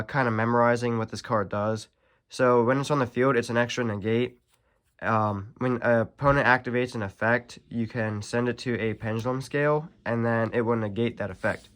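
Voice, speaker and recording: A young man with a stuffy, nasal voice from allergies, casually walking through a card deck, rambling with many pauses and self-corrections. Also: medium pitch, a bit bright. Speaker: card game channel host. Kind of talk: casual deck profile walkthrough.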